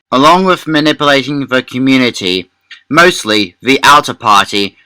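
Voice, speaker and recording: A man reads scripted commentary at a slow pace. His voice is lowish and crisp, with a very bright, forceful edge. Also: dry recording, poor audio. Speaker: presenter. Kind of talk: scripted narration, political commentary.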